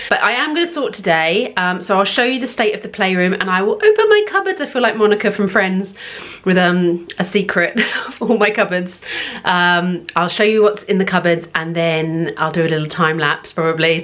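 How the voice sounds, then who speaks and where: A woman in her thirties talking casually and informally as she explains things like a home vlogger. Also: medium pitch. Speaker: parenting vlogger. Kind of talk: casual chatty home tour.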